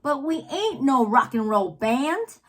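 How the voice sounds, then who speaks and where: A woman reading poems aloud for children in a theatrical way, drawing out words and pausing between phrases. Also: high-pitched, big pitch swings. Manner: theatrical, drawn-out words with pauses. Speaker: read-aloud host, children's reader. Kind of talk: expressive poetry read-aloud for children.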